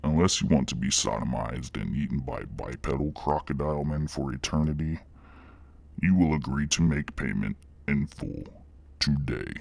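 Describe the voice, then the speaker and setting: A man voicing a menacing reptilian demon boss with a deep gravelly growl, speaking in slow, mock-polite, condescending corporate fashion. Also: no room sound, full character voice. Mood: smugly menacing.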